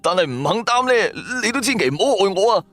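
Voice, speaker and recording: A man with a Cantonese accent voicing a jumpy, superstitious, self-serving sidekick in an audio drama, excitable and wheedling. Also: sits high, fast, dry recording, soft background music, pitched above the performer's own voice. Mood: nervous pleading.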